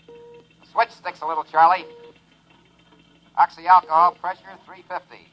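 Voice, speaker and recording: A man's voice: on the high side, little pitch movement, measured pace, lo-fi sound, natural voice. An American man playing a cocky, daring test pilot in a 1950s radio drama, giving breezy, clipped reports. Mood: businesslike, confident. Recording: old 1950s radio broadcast recording.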